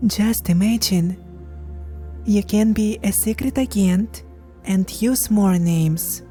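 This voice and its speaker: A young woman with a Ukrainian accent, speaking careful non-native English with hesitant pauses, telling her own story in a personal vlog. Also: medium pitch, mellow, faint music behind.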